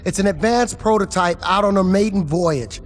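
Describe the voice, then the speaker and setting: An actor playing an earnest military officer and worried, loyal friend in a video game, urgent and businesslike. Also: male voice, high voice, moderate pace, soft background music, lightly acted. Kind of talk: scripted video game acting.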